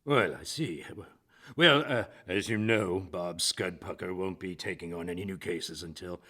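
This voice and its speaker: An actor playing a pompous, lecherous middle-aged lawyer boss in a comedic radio drama, with a smarmy, fussy manner and a gravelly, husky voice. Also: male voice, sits low, unhurried, sharp tone, heavily acted. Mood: ingratiating. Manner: smarmy, fussy, oily chuckling talk.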